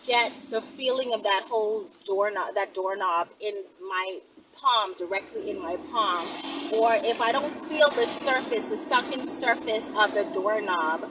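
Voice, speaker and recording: A woman chatting casually to viewers in a rambling vlog, with a brash, playful, foul-mouthed manner. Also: mid-range voice. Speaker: video creator. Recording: distant mic with clear background noise.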